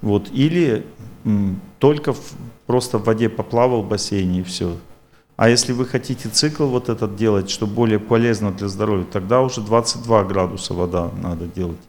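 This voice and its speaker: A man's voice: on the low side, normal speed, darkish tone. A man lecturing an audience and taking their questions, talking in a rambling, repetitive but self-assured way.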